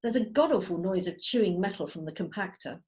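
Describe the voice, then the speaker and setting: A woman's voice: lowish voice, animated, brisk, darkish tone. A woman narrating the stage directions of a script in a table read over a video call.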